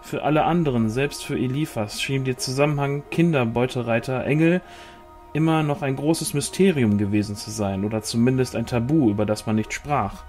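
A man's voice: medium pitch, fairly quick, a little mellow. Man narrating a novel over music. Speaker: audiobook reader. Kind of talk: scripted novel narration with acted dialogue.

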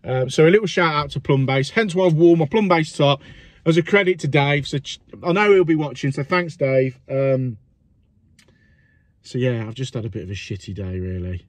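A man's voice: medium pitch, moderate pace. A man, a plumber vlogging frankly about a stressful job, swearing and rambling a little. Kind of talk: casual vlog with job commentary. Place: parked van, then a small bathroom.

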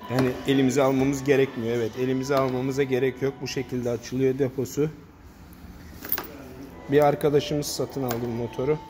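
A man's voice: medium pitch, little pitch movement, moderate pace, music in the background. A man filming a walkaround review in a showroom, pointing out parts in a plain, matter-of-fact way. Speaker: motorcycle reviewer. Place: indoor motorcycle showroom. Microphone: handheld phone camera.

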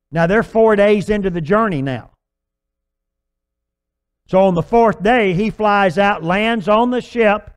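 An older man preaching a sermon, telling a long illustration story on a church stage with lively gestures. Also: high voice, clear, resonant tone. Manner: animated, gesturing storyteller with filler restarts. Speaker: pastor. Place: large church stage with purple lights.

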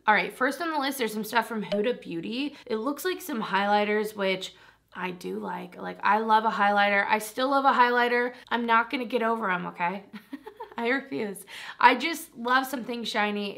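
A young woman with a raspy voice chatting to camera about beauty products in a bubbly, rambling way, laughing often. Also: mid-range voice, a bit bright. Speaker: beauty vlogger. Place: small decorated room indoors.

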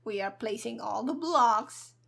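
A woman's voice: fairly high pitch, expressive, a little slow, gravelly, a bit bright, breathy edge. A woman streamer giving casual, playful gameplay commentary in a cheeky, hyper, giggly manner. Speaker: gaming streamer, virtual avatar host.

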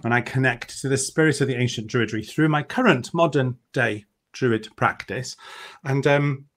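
A man's voice: mid-range voice, moderate pace, fairly bright tone. Welsh man, middle-aged, native Welsh speaker, upbeat panel guest on a video call. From Wales. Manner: enthusiastic, self-deprecating humour. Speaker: author and druid, panelist. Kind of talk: casual panel talk. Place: home room.

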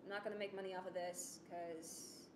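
A young adult woman giving a casual presentation, chatty, animated and self-deprecating. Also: lowish voice, normal speed, background noise. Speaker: student presenter of an audio drama project. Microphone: desktop microphone on the table. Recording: roomy, echoey sound with music behind.

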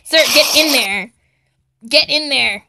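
A woman's voice: high voice, slow, gravelly, bright, forceful tone, faint music behind. A woman improvising a game roleplay with friends in a playful, dramatic way. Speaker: player in a video game roleplay.

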